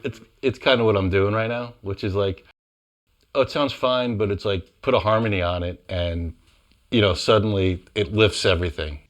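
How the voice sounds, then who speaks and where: A man's voice: fairly low pitch, moderate pace. A man who works as a composer casually explains his songwriting, thinking aloud in an informal way. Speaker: composer and musician.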